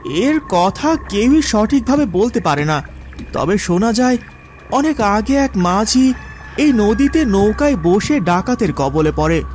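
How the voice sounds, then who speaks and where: A man acts a loyal young villager in a cartoon ghost story. The voice is very high for a male and very animated, with an assured, knowing manner. Faint music plays behind it. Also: unhurried. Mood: grave, explaining. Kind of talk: animated horror story character acting.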